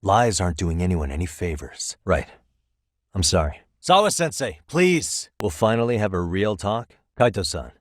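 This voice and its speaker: A man's voice: low-pitched, big pitch swings, normal speed, dry recording, clean studio sound. A man playing a streetwise private detective in a video game, cool, wry and dogged, with an American accent and a casual, conversational delivery. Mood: serious, probing, then urgent, pleading. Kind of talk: English video game voice acting.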